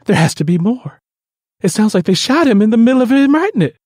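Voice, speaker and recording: A man's voice: high-pitched, sing-song, fast, darkish tone, breathy edge, no echo, clean studio sound, voice pushed up, full character voice. A man voicing a distraught, fiercely protective mother in an audiobook drama, emotional and insistent. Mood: alarmed.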